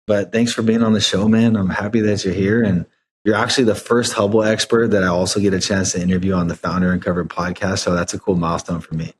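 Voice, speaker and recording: Low-voiced man hosting a podcast. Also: little pitch movement, fast talker, slight background noise. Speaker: podcast host. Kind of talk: casual podcast conversation.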